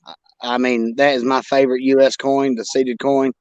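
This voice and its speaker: A man's voice: mid-range voice, little pitch movement, normal speed, darkish tone, clear, resonant tone, no echo, highs cut off. A man chatting casually as a hobbyist guest on a livestream video call, easygoing and given to repeating himself. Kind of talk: casual chat. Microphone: internet video call.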